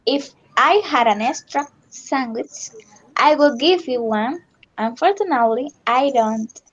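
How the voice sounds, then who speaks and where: Woman student, careful slow reader, high pitch, dull lo-fi call sound. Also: lively intonation. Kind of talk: reading sentences aloud in class.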